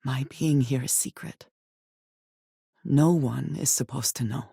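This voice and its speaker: An actress playing a guarded, serious woman space explorer in a video game, speaking in formal, measured phrases. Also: a bass voice, vocal fry, mellow, slightly rough, no room sound, clean studio sound, heavily acted. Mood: troubled, earnest. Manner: formal, measured diction with few contractions.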